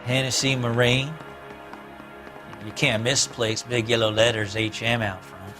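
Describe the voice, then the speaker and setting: Folksy down-and-out ex-convict. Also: male voice, medium pitch, flat delivery, a little slow, bright, forceful tone, soft background music. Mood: matter-of-fact. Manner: evasive, folksy drawling grammar. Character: down-and-out folksy ex-convict, sullen and slippery. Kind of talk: cinematic video game acting.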